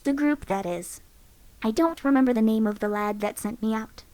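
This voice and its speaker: A woman voicing a calm, wry female companion in a game, delivering voice lines in an offhand way. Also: a little high, normal speed, no room sound, close to an everyday voice.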